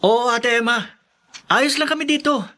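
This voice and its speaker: Eager, carefree college-age lad from the provinces, high voice, animated radio drama delivery male actor. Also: unhurried, heavily acted. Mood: easygoing. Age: young adult.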